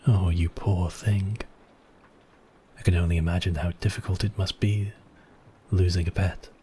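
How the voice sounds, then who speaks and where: A man acting as a suave, obsessive, mocking vampire count in an intimate ASMR roleplay, with silky, teasing, drawn-out phrasing. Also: low-pitched, fairly even intonation, mellow, a little hiss or hum, natural voice. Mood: mock sympathy.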